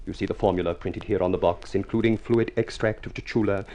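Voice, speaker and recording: Actor as a low-voiced florid smooth talker. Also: male voice, fairly even intonation, fast, dark tone, lightly acted. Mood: pompous sales pitch. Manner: florid, grandiloquent delivery. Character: ugly, glib, flowery-tongued suitor turned pushy remedy salesman. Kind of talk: vintage radio drama acting. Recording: noisy vintage radio recording.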